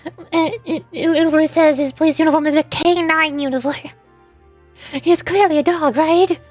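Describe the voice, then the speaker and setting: High voiced girl streamer just chatting, exclaims a lot, interrupts herself, faint music behind. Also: steady tone, normal speed, a little mellow. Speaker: VTuber streamer. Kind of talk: casual livestream chat with game voice-acting.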